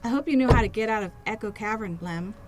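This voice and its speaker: A woman playing a calm, shrewd small-town doctor in old-time radio style, composed and probing. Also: mid-range voice, fast talker, a little hiss or hum, close to an everyday voice. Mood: alert, businesslike.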